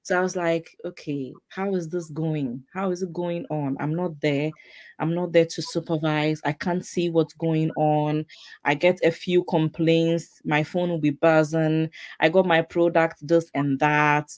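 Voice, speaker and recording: Woman with a low, dry voice. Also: fairly even intonation, a little slow, a little mellow, dry recording. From Ghana. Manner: chatty, laughs easily, repeats phrases. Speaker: host. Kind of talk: casual live chat.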